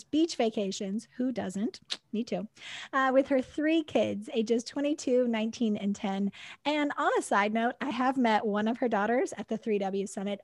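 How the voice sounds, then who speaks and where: Woman, podcast host, high voice, bubbly, gushing, quick to laugh. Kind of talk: conversational interview.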